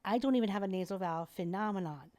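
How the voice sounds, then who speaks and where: A middle-aged woman, a guest airway specialist, explaining and demonstrating in a lively, teacherly way during a podcast interview. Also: medium pitch, fast talker.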